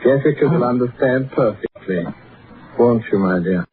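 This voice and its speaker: An actor playing a smooth, controlling, scheming husband in a vintage radio drama, speaking in coaxing leading questions. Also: male voice, mid-range voice, normal speed, natural voice. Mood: suave, businesslike. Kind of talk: 1940s radio drama acting. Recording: tinny, noisy vintage radio recording.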